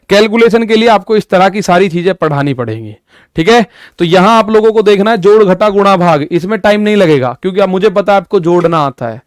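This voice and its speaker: A man's voice: high-pitched, no room sound, studio quality. A young man in his twenties teaching a maths lesson for exam preparation, repeating points and talking straight to his students with everyday examples. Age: 20s. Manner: repetitive, addresses students directly with "ठीक है" and "भाई".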